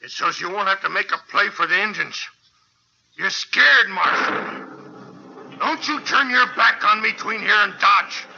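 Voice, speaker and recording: An actor playing a bitter, defiant outlaw prisoner in a 1950s radio western, with a rural American twang and a taunting, emotional manner. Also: male voice, high-pitched, measured pace, creaky, bright, forceful tone, a little hiss or hum, full character voice. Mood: taunting, menacing. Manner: taunting, emotional, rustic speech. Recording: dull vintage 1950s radio recording.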